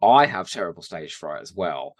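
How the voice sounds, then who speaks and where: Animated British man, jokey podcast co-host. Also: deep voice, dry recording. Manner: enthusiastic, jokey, quick to laugh. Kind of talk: casual TV rewatch chat.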